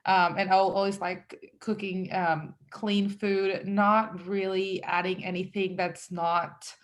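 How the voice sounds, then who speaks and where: A woman's voice: mid-range voice, level tone, takes it slowly. A woman in her thirties from El Salvador, a guest talking casually about her business with lots of fillers. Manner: chatty, with frequent fillers and self-quotes. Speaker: guest entrepreneur. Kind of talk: casual conversational interview.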